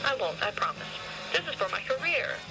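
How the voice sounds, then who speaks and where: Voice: mid-range voice, big pitch swings, fairly quick, vocal fry, pitched above the performer's own voice, low-quality recording. A performer voicing a vain, suffering female fashion model from the future in an audio science fiction story, with music behind. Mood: pleading, earnest.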